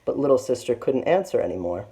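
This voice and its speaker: Low-voiced, animated woman reading a storybook. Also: fast talker. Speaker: teacher reading aloud. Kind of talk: expressive children's storybook narration.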